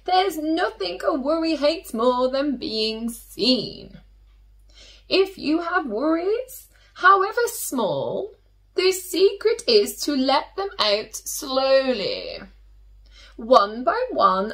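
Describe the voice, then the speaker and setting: Woman acting a high-voiced, kindly little old lady. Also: very animated, a bit bright, exaggerated voice. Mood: kindly advice. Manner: brisk and reassuring. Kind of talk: children's storybook read-aloud.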